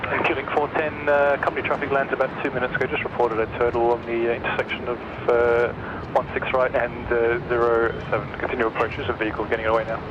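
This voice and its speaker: A man's voice: mid-range voice, little pitch movement, fast talker. A man working as an air traffic controller, giving clipped instructions over the radio. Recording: dull radio feed, loud aircraft noise.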